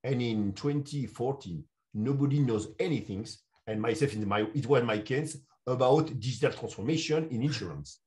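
A man, a consulting CEO answering interview questions over a video call. He speaks in measured, English. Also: medium pitch, a little mellow. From France. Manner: measured, non-native English phrasing. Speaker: guest, insurance consulting CEO. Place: home room.

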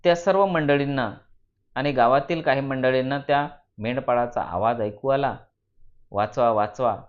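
A man narrating a moral story for students in deliberate phrases with dramatic emphasis. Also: medium pitch, highs cut off.

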